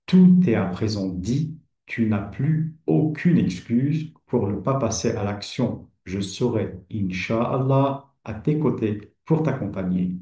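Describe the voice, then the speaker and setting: Calm, mellow-voiced man in an echoey room. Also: lowish voice, takes it slowly, hardly any pauses. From Kabylie, Algeria. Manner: addresses the listener informally, calm and persuasive. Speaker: Arabic teacher and platform founder. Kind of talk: scripted promotional presentation.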